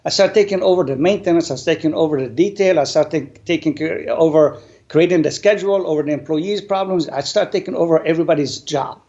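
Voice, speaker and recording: A man, an English speaker, telling his life story earnestly and deliberately as an interview guest. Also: a little high, dark tone. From Lebanon. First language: not English. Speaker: guest, business owner. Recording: dull lo-fi sound.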